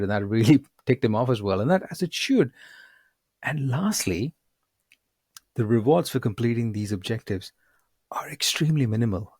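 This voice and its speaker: A man's voice: mid-range voice, darkish tone, no room sound, studio quality. A man co-hosting a podcast, talking in a chatty, enthusiastic way. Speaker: podcast co-host. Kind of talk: casual podcast chat.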